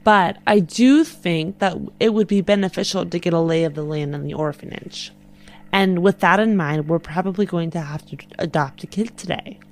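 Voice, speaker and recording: A woman's voice: on the low side, moderate pace. A woman talking casually as a player in a tabletop game, thinking through plans in character. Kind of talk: casual in-game planning chat.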